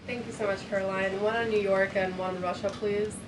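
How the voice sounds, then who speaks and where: A woman puts questions at a press briefing with polite, tentative phrasing. She is some distance from the microphone, at medium pitch. The room is clearly noisy. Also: steady tone, brisk. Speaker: reporter.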